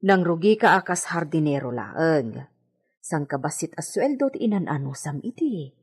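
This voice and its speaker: Loyal hacienda maid , female actor, Ilocano drama. Husky, deferential, lively melodic swings. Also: sits low, normal speed, full character voice. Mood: reasoning, chiding. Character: loyal, patient housemaid of a hacienda villa, woman. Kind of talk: Ilocano radio drama acting. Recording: music bed under the voice.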